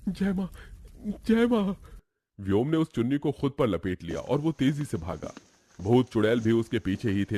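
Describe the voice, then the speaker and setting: A man's voice: lowish voice, dark tone. A man narrating a scripted horror story in a dramatic storytelling style.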